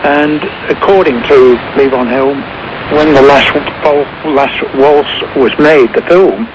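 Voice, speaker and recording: A man giving casual music commentary as a radio guest, rambling and pausing mid-phrase. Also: fairly high pitch, steady tone. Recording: muffled shortwave radio with clear static. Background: shortwave static and noise.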